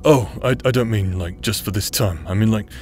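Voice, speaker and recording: A man's voice: fairly low pitch, a little fast, darkish tone, soft background music, natural voice. A man acting out a cocky, battle-worn adventurer, protective and teasing, in an audio roleplay. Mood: tender, flustered.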